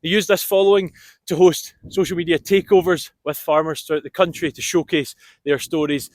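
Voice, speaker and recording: A man reading a scripted sponsor message for a podcast in a measured way. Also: fairly high pitch, fairly even intonation, dry recording.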